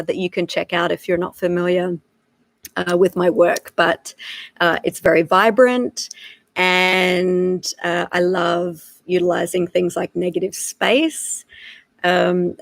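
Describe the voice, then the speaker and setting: Aussie woman chatting on a livestream, kinda low voice, lots of uhs and ums, dry close sound. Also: no echo. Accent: Australian. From Australia. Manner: frequent filler "uh" and "um", relaxed and chatty. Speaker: wildlife photographer giving a live lesson. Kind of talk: casual live-stream talk to viewers. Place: home in South Africa.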